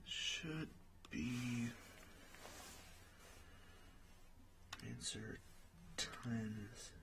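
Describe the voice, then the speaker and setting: Man playing a slow, patient study buddy, noisy recording. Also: medium pitch. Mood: concentrating, unsure. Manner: hesitant, thinking aloud while working. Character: friendly, patient young male friend tutoring chemistry. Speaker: study partner. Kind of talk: ASMR roleplay, soft-spoken tutoring.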